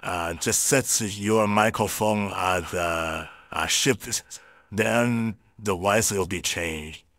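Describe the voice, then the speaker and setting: A man speaking through an AI voice changer, presenting a casual software tutorial in a hesitant, halting way. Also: lowish voice, no room sound, clean studio sound. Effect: AI real-time voice changer. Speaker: YouTuber.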